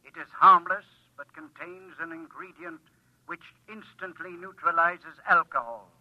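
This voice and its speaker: A man voicing a helpful household computer that speaks in an even, announcer-like way, in a 1950s radio drama. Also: a little high, measured pace, dry recording, narrow, tinny audio, lightly acted. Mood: prim.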